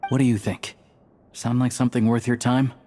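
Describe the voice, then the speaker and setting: A man's voice: mid-range voice, little pitch movement, fairly quick, dark tone, slight background noise, natural voice. Man playing a composed, courteous male accomplice. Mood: thoughtful. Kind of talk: video game voice acting. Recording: music under the voice.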